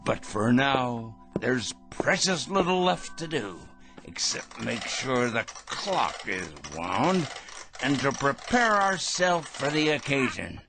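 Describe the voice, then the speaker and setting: An actor playing a pompous, paranoid megalomaniac in a radio drama, with a theatrical, feverishly excitable, ranting manner. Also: male voice, medium pitch, slow pace, gravelly, music in the background, heavily acted. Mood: smug, preening.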